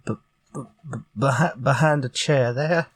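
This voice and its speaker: A man playing an excitable writer in an old-time radio horror mystery. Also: mid-range voice, moderate pace, breathy edge, no echo, clean studio sound, close to an everyday voice. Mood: horrified.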